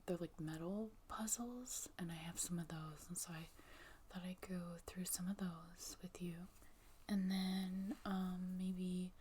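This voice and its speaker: A woman whispering gently in a casual ASMR show and tell, soft-spoken and breathy, close to the microphone. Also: fairly low pitch, slight background noise.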